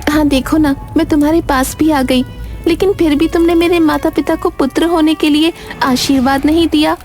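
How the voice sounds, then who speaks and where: Woman plays forsaken young girl for storybook tale: very high, childlike, plaintive, music behind. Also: fairly even intonation, moderate pace, darkish tone, natural voice. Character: sad, forsaken young girl, eager to please.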